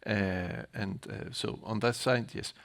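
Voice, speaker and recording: Gravelly, halting man on a panel. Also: on the low side, fairly even intonation, fairly bright tone. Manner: halting, tags sentences with "no?". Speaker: keynote speaker, panelist, researcher. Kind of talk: informal panel answer.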